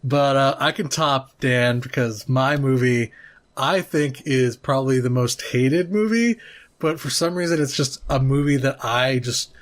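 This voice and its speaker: A man's voice: medium pitch, unhurried. Casual male podcast panelist. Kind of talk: casual panel chat about films.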